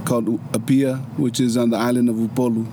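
A man from Samoa with a dark, mellow voice talks through his stories patiently, restarting phrases as he goes. A dry sense of humor runs under his plain, relaxed delivery. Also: medium pitch, fast, a little hiss or hum. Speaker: interview guest. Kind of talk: casual storytelling conversation.

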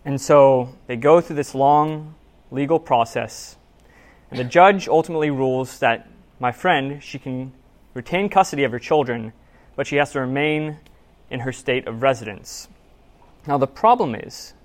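A man preaching a sermon in a church, reading scripture aloud and then telling a story in an earnest, measured, conversational way. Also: mid-range voice. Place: church sanctuary.

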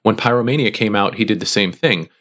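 Podcast co-host, male, lowish voice, easygoing reader who pauses often. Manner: relaxed, many "uh" pauses while reading. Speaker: podcast co-host reading listener feedback. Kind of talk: casual chat, reading comments aloud.

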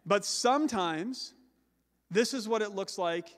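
A high-voiced man preaches a sermon with a loud, carrying voice. He slows down and stresses the words he wants to land. The sound rings with the long echo of a large hall. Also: animated. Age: adult with children. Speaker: pastor.

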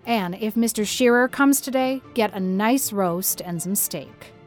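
A woman's voice: medium pitch, a little slow, faint music behind, close to an everyday voice. A woman voicing a stern older woman who admonishes and instructs a child. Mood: instructing. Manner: admonishing, prim.

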